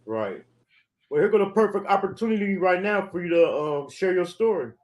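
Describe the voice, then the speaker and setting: Male host, high-pitched, laid-back and casual with his questions. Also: expressive, brisk, a little mellow. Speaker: interview host. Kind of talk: casual interview.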